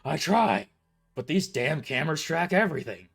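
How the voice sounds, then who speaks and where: Bullying old cafe boss, loud and croaking, a coward underneath, as a man puts him on in a story reading. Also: medium pitch, a little slow, soft background music. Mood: cowering.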